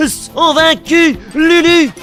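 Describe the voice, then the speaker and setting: A man's voice: very high-pitched, pushed and bright, soft background music, heavily acted. A man voicing a megalomaniac villain ruler in an anime parody dub, with a gloating, grandiose delivery. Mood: gloating, triumphant. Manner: grandiose, drawn-out, cackling. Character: gloating, megalomaniac male pope villain of a sanctuary.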